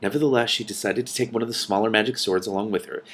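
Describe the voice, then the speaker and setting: A man with a fairly low voice reads fiction aloud as a host. He shifts between narration and casual, exclamatory reactions in an animated manner. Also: racing, breathy edge. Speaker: host reading a novel aloud with commentary.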